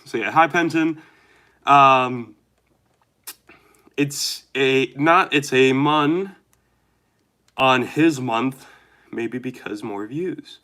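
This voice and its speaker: Young male streamer, congested and nasal, bright tone, loose rambling delivery. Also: mid-range voice. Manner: rambling, chatty, reads chat aloud haltingly. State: nasal and dry-throated from a cold. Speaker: livestreamer. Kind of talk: casual live stream chat with viewers.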